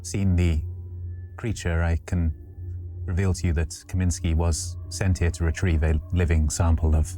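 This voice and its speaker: A man playing in a tabletop role-playing game, speaking in character as a company android in a measured, deliberate way. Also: low voice, flat delivery, warm tone. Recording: music behind the voice.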